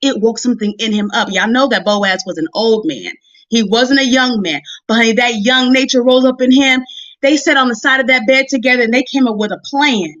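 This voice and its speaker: High-pitched woman preaching emphatically. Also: brisk, fairly bright tone, no room sound, lo-fi sound. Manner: emphatic, conversational, repeats phrases for effect; folksy direct address to women listeners. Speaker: guest preacher and motivational Bible teacher. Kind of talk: motivational sermon on a live stream. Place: live video stream.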